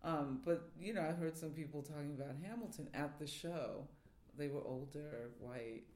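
A man's voice: a little high, moderate pace, darkish tone, slight background noise. A man from New York City, a theater professor, speaking thoughtfully as an interview guest. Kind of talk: reflective interview talk. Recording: roomy echo.

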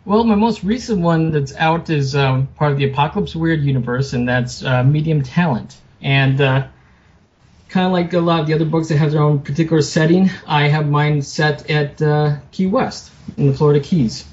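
A man being interviewed as a guest author, chatting casually in a halting, self-deprecating way. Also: on the high side, darkish tone. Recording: muffled lo-fi sound with noticeable background noise.

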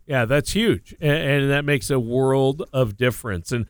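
Upbeat co-host with a dry voice. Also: male voice, medium pitch, unhurried, clean tone, no echo. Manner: upbeat, agreeable, prompting questions. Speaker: radio co-host. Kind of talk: casual radio talk.

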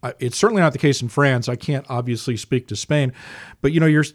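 A man's voice: mid-range voice, quick pace. A man from Scotland, talking in a digressive, explanatory way in a casual discussion. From Dundee, Scotland. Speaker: discussion participant.

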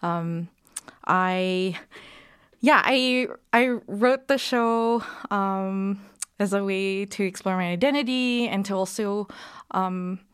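A woman's voice: medium pitch, slow, fairly bright tone, clean tone. A woman, a playwright and actor answering interview questions hesitantly, with many ums. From Singapore. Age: 30s.

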